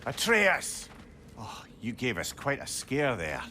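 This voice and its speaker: A man's voice: mid-range voice, wildly swooping pitch, slow pace, sharp tone, a little hiss or hum, full character voice. A man playing a witty, affectionate and boastful older sage in a video game. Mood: jovial. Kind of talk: video game cutscene acting.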